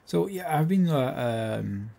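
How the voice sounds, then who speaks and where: A young man hosting a let's play, chatting casually and rambling with many fillers; his voice is bassy. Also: animated, slow, creaky, dark tone. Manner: rambling, hesitant, filler-heavy. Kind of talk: casual gameplay commentary.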